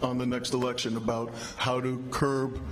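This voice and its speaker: A man at a public forum asking a follow-up question, halting and hesitant, with many pauses. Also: mid-range voice, flat delivery. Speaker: audience member asking a question.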